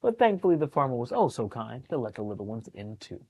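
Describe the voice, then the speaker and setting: Male children's storytime reader, lively swooping pitch, encouraging asides, close dry sound. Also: quick pace, slightly rough, no echo. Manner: animated, encouraging, explains pictures to young listeners. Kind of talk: expressive picture book read-aloud with chatty asides to young children.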